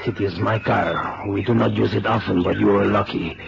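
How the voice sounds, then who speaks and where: An actor playing a smug, courteously cruel Mexican crime boss with a Mexican accent and formal, mocking phrasing in a vintage radio drama. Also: male voice, lowish voice, steady tone, moderate pace, vocal fry. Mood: smug, amused. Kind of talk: 1970s radio drama acting. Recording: muffled, hissy old radio recording.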